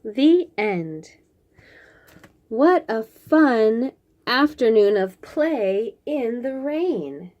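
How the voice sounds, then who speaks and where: A woman with a fairly high voice hosts a read-aloud for small children. She takes her time, stretching out the lines of the picture book with very lively intonation, then adds chatty comments of her own. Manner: expressive, drawn-out reading with chatty asides.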